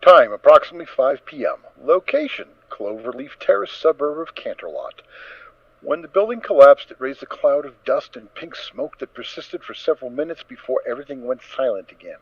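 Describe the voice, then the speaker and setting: Dry, mid-pitched male story narrator. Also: sing-song, a little fast, dry recording. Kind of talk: dramatic scripted story narration. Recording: dull, dry lo-fi recording.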